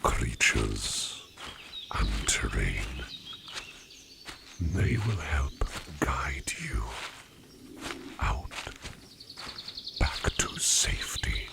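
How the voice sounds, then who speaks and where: A man playing a courteous, secretive sleep demon in disguise for an ASMR roleplay, speaking in formal, old-fashioned, halting phrases in a breathy near-whisper. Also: extremely low pitch, very animated, heavily acted. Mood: gentle, reassuring. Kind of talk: soft-spoken ASMR roleplay addressed to the listener.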